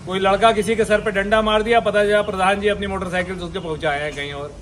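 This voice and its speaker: A man's voice: high voice, fairly even intonation, fast talker, fairly bright tone. A man speaking as a senior police officer, addressing a meeting in an exhortatory way with emphatic repetition. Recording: live speech with background noise.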